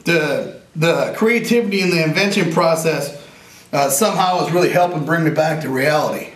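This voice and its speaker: A man in his forties with a raspy voice, an inventor talking in a casual, rambling and earnest way, sometimes joking about himself. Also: a little high, normal speed. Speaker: inventor and veteran interviewee. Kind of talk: casual interview talk. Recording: roomy echo, from a distance.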